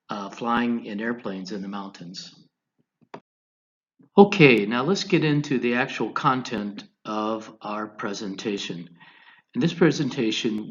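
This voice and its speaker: An American man teaching an online class, giving a deliberate, instructional lecture over slides, phrase by phrase. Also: mid-range voice, dull audio. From United States, Colorado.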